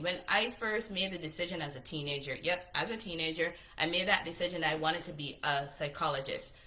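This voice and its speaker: Upbeat bright-voiced woman from Barbados. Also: fairly low pitch. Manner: chatty, upbeat, says "right?" often. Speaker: business coach. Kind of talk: casual talk to camera.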